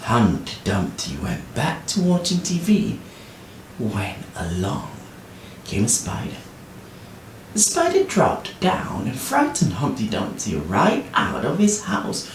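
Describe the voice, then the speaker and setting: A woman reading a children's story expressively as a teacher, with deliberate phrasing and dramatic pauses. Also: rumbling low, huge pitch swings. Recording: roomy, distant, faint noise.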